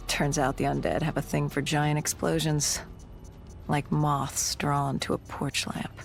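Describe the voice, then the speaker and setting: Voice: medium pitch, creaky. An actor's scripted game narration as a battle-worn cybernetic soldier, telling a grave story in a terse, dramatic way. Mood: grave, tense. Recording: dramatic music behind. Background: music.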